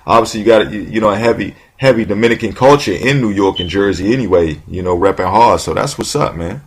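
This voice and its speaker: Husky-voiced man hosting a talk show. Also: fairly low pitch, quick pace. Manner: rambling, many fillers and restarts, slangy. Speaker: radio show host. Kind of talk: casual talk show interview.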